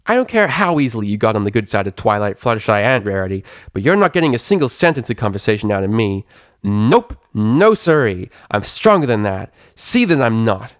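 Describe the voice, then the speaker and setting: A man's voice: on the low side, very animated, a little fast, no room sound, clean studio sound. A man voicing a brash, irritable young female pegasus pony from a cartoon story, with a cracking voice and slangy, blustering delivery. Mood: exasperated, defiant. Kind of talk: animated character voice in a story reading.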